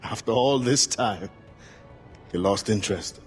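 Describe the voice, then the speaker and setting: A man's voice: lowish voice, unhurried, faint music behind, lightly acted. A man playing a grave, resolute middle-aged assassin who fights for freed slaves in a video game cutscene. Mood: somber, subdued.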